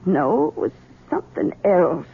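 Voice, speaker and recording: A woman's voice: on the low side, very animated, unhurried, vocal fry, slightly rough, heavily acted. An actress playing a bitter, domineering elderly mother-in-law in a 1970s radio drama, with a dry, sardonic manner. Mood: brooding. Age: older. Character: bitter, domineering elderly Southern matriarch in hiding. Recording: muffled, thin 1970s radio recording.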